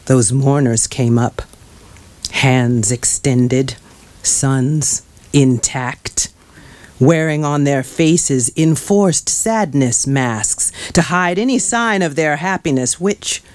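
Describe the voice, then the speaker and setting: Slow, mournful man reading aloud. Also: mid-range voice, darkish tone. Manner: slow, halting, mournful delivery in short phrases. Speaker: author reading from his novel. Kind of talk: dramatic literary reading. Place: small crowded bookshop, indoors. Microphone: microphone on a stand.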